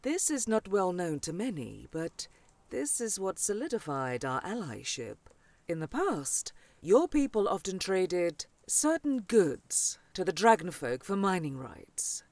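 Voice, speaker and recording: A woman's voice: lowish voice, very animated, measured pace, a little mellow, no echo. A woman playing a proud older dragonfolk scholar in a fantasy audio drama, grandly formal and haughty. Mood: wry, knowing.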